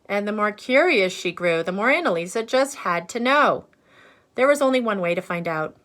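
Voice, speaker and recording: A woman reading a picture book aloud in an expressive, teacherly way, pausing to put asides and questions to young listeners. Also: medium pitch. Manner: animated, teacherly, pauses for questions.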